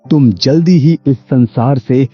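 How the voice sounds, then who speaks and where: Cartoon story hermit, male actor: wise, benevolent, rough-edged voice, medium pitch, music bed. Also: measured pace, natural voice. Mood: solemn. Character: wise holy hermit sadhu, solemn, kindly blessing. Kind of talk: animated Hindi moral story acting. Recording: dull lo-fi audio with music behind.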